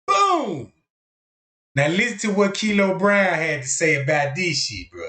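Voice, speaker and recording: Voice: medium pitch, big pitch swings, a little slow, pushed and bright. A livestream host talking casually over video footage, pointing out details to viewers. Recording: echoey room.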